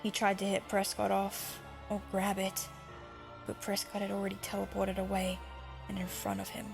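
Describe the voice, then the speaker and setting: Female fan-fiction narrator, medium pitch with pronounced creak, over a music bed. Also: completely flat delivery, moderate pace. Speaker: fanfic author and narrator. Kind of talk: scripted horror story narration, casual outro. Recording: music under the voice.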